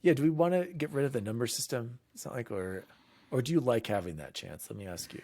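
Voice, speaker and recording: A man's voice: mid-range voice, expressive, fast talker, darkish tone. A man hosting a casual gaming podcast, talking loosely and laughing easily. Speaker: podcast host.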